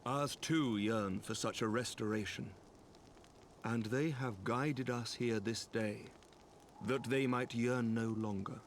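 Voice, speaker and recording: An actor playing a noble, solemn leader of knights in a fantasy game, speaking formal archaic lines in a measured, earnest way. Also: male voice, medium pitch, steady tone, a little hiss or hum, close to an everyday voice. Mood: formal welcome.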